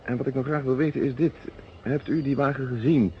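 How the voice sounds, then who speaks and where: An actor playing a shrewd, self-assured police inspector in a radio play, measured, polite and casually probing. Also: male voice, medium pitch, fairly even intonation, lightly acted. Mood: disarmingly polite. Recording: dull, noisy old radio recording.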